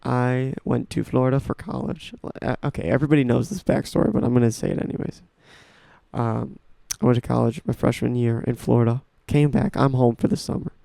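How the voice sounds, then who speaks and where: Gravelly young man rambling on a podcast. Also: mid-range voice, steady tone, brisk, warm tone, dry recording. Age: young adult. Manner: rambling, enthusiastic, restarts often. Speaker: podcast host. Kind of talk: casual solo talk about music.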